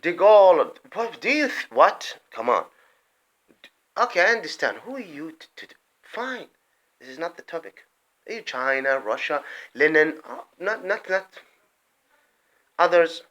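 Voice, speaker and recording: Animated man ranting in bursts. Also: a little high, unhurried, pauses often. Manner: rambling, emphatic, often breaks off and restarts; swings between loud outbursts and muttered asides. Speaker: vlogger. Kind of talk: impassioned political monologue.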